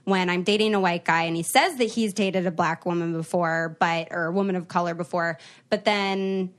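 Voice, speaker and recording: A young woman hosting a casual podcast interview, engaged and quick to laugh. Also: on the low side, steady tone. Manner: warm, engaged listener with frequent laughs. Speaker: podcast host.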